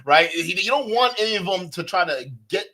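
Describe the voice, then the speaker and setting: An animated man riffs over a clip he is watching, full of slang and quick laughs. His voice sits a little high and sounds bright and pushed, with a husky edge. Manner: excitable, slangy, says bro a lot, laughs often. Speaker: streamer reacting to a clip. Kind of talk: casual reaction commentary.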